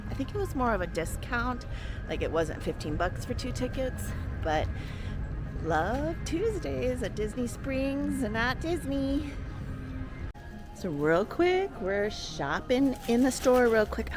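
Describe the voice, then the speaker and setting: A woman with a mid-pitched voice talks to viewers in a casual shopping vlog. She gushes with enthusiasm as a co-host would. Music plays clearly behind her. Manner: gushing, enthusiastic.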